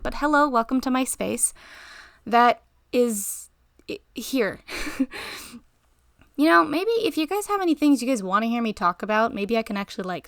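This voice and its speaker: Tired, slightly raspy young woman rambling. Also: fairly high pitch, a bit bright, no room sound, clean studio sound. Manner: rambling, self-interrupting, giggly. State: tired, short on sleep. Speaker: art channel host. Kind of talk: rambling voice-over while drawing.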